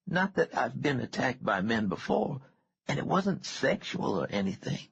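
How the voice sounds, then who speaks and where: A man reading an audiobook, voicing a shaken but wry young woman with a thin, raspy voice and a hesitant, soft-spoken manner. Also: medium pitch, sing-song, moderate pace, highs cut off, slight background noise, voice pushed up. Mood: hesitant, uneasy. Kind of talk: audiobook character dialogue.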